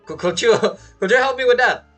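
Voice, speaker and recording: A woman streaming a video game with casual, bantering reaction commentary in a husky, expressive voice. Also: a little high, normal speed. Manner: expressive, bantering, repeats words. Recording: game music behind voice. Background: video game music.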